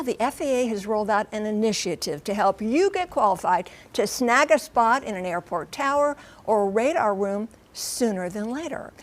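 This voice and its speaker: High-voiced American woman reporting TV news. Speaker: TV transportation correspondent and pilot. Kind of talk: live TV news report, conversational.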